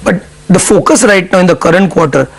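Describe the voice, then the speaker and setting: Middle-aged executive, husky and gravelly, measured interview answers. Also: male voice, sits high. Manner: measured, explanatory, businesslike. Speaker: CFO guest. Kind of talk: business news interview.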